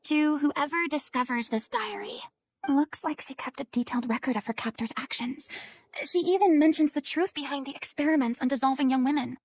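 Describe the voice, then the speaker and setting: A woman's voice: high voice, expressive, a little fast, dry recording. A woman playing a poised, commanding yet compassionate young woman in an anime game, speaking in articulate, theatrical phrases. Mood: intent.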